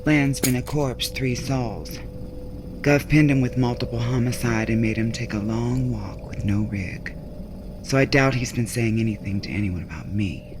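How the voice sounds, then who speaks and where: An actor playing a shrewd, brassy flophouse keeper in a sci-fi audio drama, sardonic and streetwise, full of familiar endearments. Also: male voice, mid-range voice, moderate pace, noisy background, lightly acted. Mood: wary, dry. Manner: sardonic, streetwise, calls people sweetness and baby. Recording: loud music bed under dialogue.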